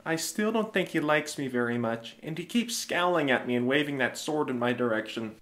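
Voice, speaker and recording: A man's voice: a little high, lively intonation, fairly quick, fairly bright tone. A man playing a zealous, newly converted young Roman husband in an audio drama, earnest and emphatic. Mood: awed, sheepish.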